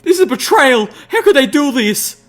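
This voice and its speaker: A man voicing a fiery, proud country mare with a drawl, shouting in strained, indignant outbursts. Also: a little high, big pitch swings, fairly quick, pitched above the performer's own voice. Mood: furious. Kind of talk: audiobook reading.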